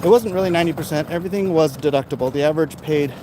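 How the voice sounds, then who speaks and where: American man, slightly high voice, casual opinion rant, colloquial, emphatic, outdoor recording with faint traffic noise. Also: rapid-fire. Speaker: live-stream vlogger reading and answering viewer comments. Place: outdoors, in his backyard. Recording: noisy outdoor urban ambience, traffic sounds.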